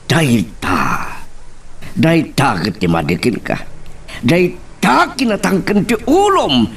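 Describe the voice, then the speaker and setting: Man acting stern scolding father, wildly animated, distant. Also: a little high, measured pace, full character voice. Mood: stern, exasperated. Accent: Ilocano. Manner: scolding, sermonizing. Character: stern, exasperated father scolding his grown daughter. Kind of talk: Ilocano radio-style drama acting. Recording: distant mic with clear noise.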